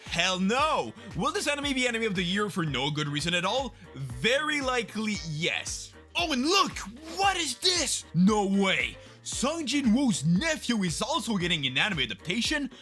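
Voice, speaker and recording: A young man gives sarcastic, mock-dramatic commentary on the new anime season. His tone is bright, he keeps his voice a little high, and his pitch swings widely. Soft music plays faintly underneath. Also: sing-song, normal speed. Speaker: YouTube host.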